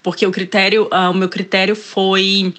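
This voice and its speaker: A woman's voice: mid-range voice, level tone, moderate pace, a bit bright. A woman from Ceará with a Northeastern Brazilian accent, a guest chatting casually in an interview, hesitating often and restarting her words. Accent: Northeastern Brazilian Portuguese. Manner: hesitant, many fillers and restarts. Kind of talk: casual interview, reading passages aloud.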